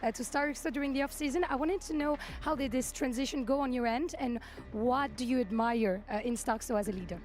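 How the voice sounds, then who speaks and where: A woman's voice: high-pitched, a little fast, few pauses, a little mellow. A woman interviewing a player on stage after a match, friendly and prompting with "right?". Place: on stage in an arena.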